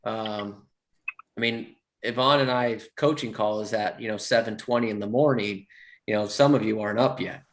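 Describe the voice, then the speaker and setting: A man's voice: lowish voice, normal speed. Guy hosting a coaching call, keeps saying very good, friendly and casual. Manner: encouraging, repeats praise. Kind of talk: casual chat.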